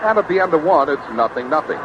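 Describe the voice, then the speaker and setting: A man's voice: a little high, expressive, quick pace. An American man calling baseball play by play as a live radio announcer. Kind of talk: live radio sports commentary. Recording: dull, very noisy vintage AM radio broadcast.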